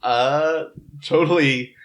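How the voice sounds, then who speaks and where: A man's voice: on the high side, fairly even intonation, takes it slowly, fairly bright tone. Rambling, self-deprecating male podcast host. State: tipsy. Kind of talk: casual comedic podcast chat. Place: in-person table recording.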